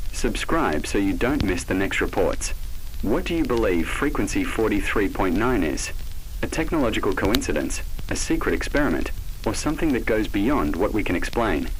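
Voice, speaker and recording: Male narrator, low register, very varied intonation, dramatic and foreboding scripted horror storytelling. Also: a little hiss or hum, low-quality recording. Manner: measured, ominous, dramatic delivery.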